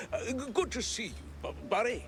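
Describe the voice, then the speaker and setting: An actor playing a nervous, glad-handing cartoon politician who stammers and chuckles, in a 1930s-style game. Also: male voice, extremely high pitch, expressive, moderate pace, slight background noise. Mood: jovial, nervous. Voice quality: creaky.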